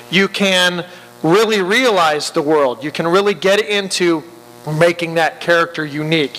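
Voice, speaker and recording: A man's voice: a little high, sharp tone. A man, an animation film director, talking candidly on a festival panel with wry, self-mocking humor. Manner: wry, self-mocking, plays at quotes in funny voices. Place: panel stage in a large hall. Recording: reverberant hall with faint hum.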